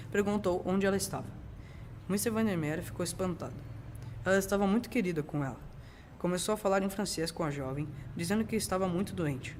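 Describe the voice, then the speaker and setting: Boy narrator, early teens, high-pitched voice, scripted radio serial read, slightly noisy amateur recording. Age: young teenager.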